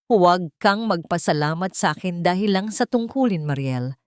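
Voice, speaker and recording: A woman's voice: on the low side, lively intonation, normal speed, dry recording, studio quality, close to an everyday voice. A woman voicing a brooding, devoted man in love in an earnest, solemn storybook drama manner. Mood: tender, intense.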